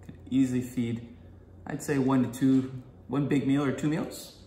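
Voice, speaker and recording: Young male vlogger, chatty and upbeat, mid-pitched, roomy kitchen sound with faint hiss. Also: steady tone, moderate pace, warm tone. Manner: chatty and enthusiastic, many "um"s. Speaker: food vlogger, guest host. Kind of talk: casual to-camera vlog. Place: home kitchen, indoors.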